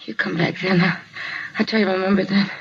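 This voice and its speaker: An actress playing a dazed, pained mugging victim in a 1950s radio drama, answering haltingly and repeating herself. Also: female voice, medium pitch, steady tone, breathy edge. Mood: weak, halting. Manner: halting, repetitive, confused short answers. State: in pain, weak. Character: dazed, hurting woman mugging victim, pleading. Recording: muffled, noisy 1950s radio recording.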